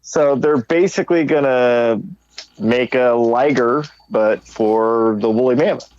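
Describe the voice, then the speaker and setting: A man co-hosts a podcast in loose, informal conversation. His voice sits at a middle pitch. The sound is a little dull and lo-fi. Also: unhurried, a little mellow. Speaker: podcast co-host. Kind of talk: casual podcast chat.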